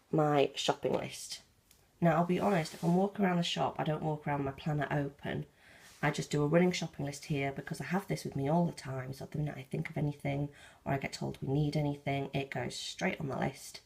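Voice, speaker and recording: A woman in her thirties with a croaky early-morning voice, chatting through her planner setup while filming on a handheld phone. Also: low-pitched, steady tone, moderate pace, darkish tone. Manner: chatty, friendly, flips pages while explaining. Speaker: planner vlogger. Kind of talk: casual show-and-tell walkthrough.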